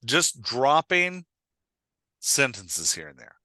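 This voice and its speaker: A man hosting and coaching in an animated, conversational way, emphatic and often checking that he is being understood. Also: medium pitch, slow pace, no echo, studio quality. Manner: acts out short example lines of dialogue. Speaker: podcast host and writing coach.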